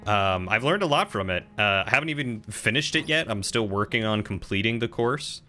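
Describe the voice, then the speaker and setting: A man's voice: on the low side, animated, a little fast, sharp tone, faint music behind. A man in his thirties chatting casually with viewers while commenting on the video game he plays. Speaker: game streamer.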